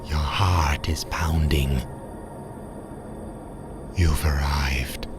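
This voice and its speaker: A man narrating a scripted Halloween horror radio drama in a dramatic, ominous manner with measured phrasing. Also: very deep voice, steady tone. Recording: loud scary music behind. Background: loud scary music.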